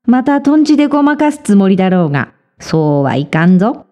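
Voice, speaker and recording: A woman's voice: medium pitch, big pitch swings, brisk, warm tone, natural voice. A woman voicing a stern old male temple priest with a pompous, scolding, old-fashioned way of talking. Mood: suspicious.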